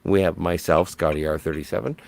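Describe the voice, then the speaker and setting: A man's voice: low voice, fairly even intonation, brisk, gravelly. A man hosting a casual panel chat, blunt and rambling, with a jokingly self-important streak. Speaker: show host.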